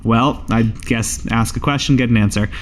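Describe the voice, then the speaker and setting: A man voicing a dry, cautious druid master in a tabletop game, with a laid-back, deadpan, casual way of talking. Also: medium pitch, normal speed, natural voice. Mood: dry, unbothered.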